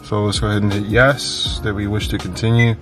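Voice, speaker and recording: A man's voice: on the low side, level tone, fairly quick, music in the background. A man hosting a car channel, talking casually step by step through a tutorial with lots of fillers, recorded inside a parked car. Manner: casual, repeats steps, many fillers.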